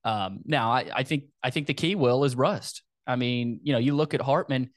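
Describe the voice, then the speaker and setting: A man's voice: mid-range voice, moderate pace, no room sound, clean studio sound. An American man hosting a sports podcast, talking through a football preview in a casual, conversational way.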